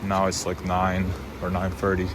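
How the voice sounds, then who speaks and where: Young Swiss man, Swiss German accent, slightly low voice, chatty vlogger, noisy car recording. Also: monotone, moderate pace. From Switzerland. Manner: loose, unscripted, many fillers and restarts. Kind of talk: casual rambling monologue.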